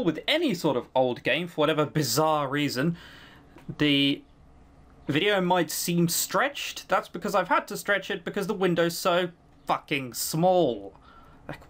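A man's voice: on the high side, a bit bright. A man giving let's-play commentary, reading game text aloud and breaking off for dry, sweary, critical asides.

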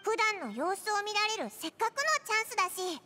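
A woman voicing a tiny, excitable fairy companion in a Japanese game, cute and childlike with blunt, boyish wording. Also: very high-pitched, fairly quick, a little hiss or hum, heavily acted. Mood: eager, cheeky. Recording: game music behind the voice.

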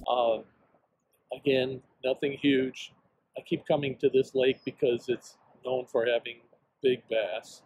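A man's voice: medium pitch, level tone, unhurried, a little mellow, lo-fi sound, faint music behind. A man in his sixties talking casually and plainly as host of a fishing vlog, outdoors on a small boat.

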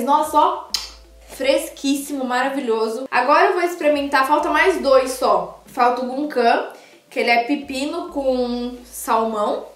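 A woman's voice: high-pitched, reverberant. A young woman from Brazil tasting food on camera in a casual vlog, gushing and talking straight to her viewers. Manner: gushing, animated, addresses viewers directly. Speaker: food vlogger. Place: small indoor room by a sofa.

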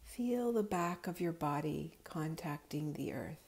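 A woman guiding a relaxation meditation in a calm, soothing, unhurried manner, her voice soft with breathy edges. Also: sits low. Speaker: yoga nidra guide.

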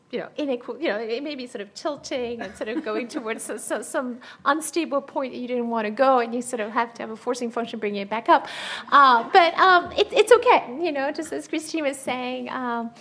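A woman's voice: on the high side, a bit bright. A woman professor, a mother, talking on a panel with self-mocking humor and frequent laughter. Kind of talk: laughing personal remarks. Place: lecture room panel table.

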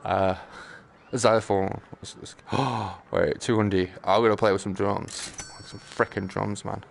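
A man giving casual, playful and sweary live commentary while streaming a video game, with an excitable manner. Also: fairly low pitch, a little slow, gravelly, a little hiss or hum.

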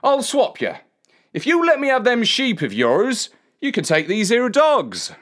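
A woman's voice: fairly high pitch, huge pitch swings, measured pace, voice pushed up. A woman voicing a folksy country man in a storybook, coaxing someone into a trade. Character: wheedling rustic man trading dogs for sheep.

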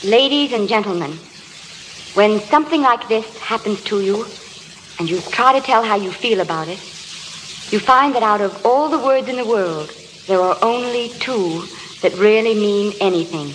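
An actress playing a sweet, anxious young film star, earnest and tender in 1930s radio style. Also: female voice, mid-range voice, animated, moderate pace, natural voice. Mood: earnest, moved. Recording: noisy, crackling old radio recording, long reverb.

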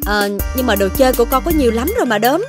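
A woman voicing a caring but firm mother in a children's cartoon, expressive and motherly, with music behind her. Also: fairly high pitch, racing, close to an everyday voice. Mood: gently questioning. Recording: music under the voice.

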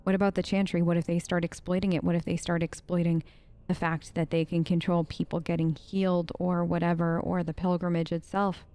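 A woman hosting a casual role-play game video, expressive and chatty as she talks to the characters. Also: fairly low pitch, brisk, clean tone. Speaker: gaming video host. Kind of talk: in-character playthrough commentary, casual.

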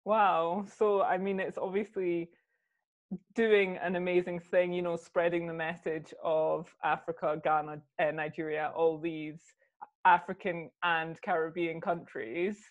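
A woman's voice: fairly low pitch, flat delivery, unhurried. A young woman host asks questions from a room at home, speaking into a lapel mic. She sounds encouraging and affirming. The sound is slightly dull and lo-fi. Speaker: host, interviewer. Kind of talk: casual interview over video call.

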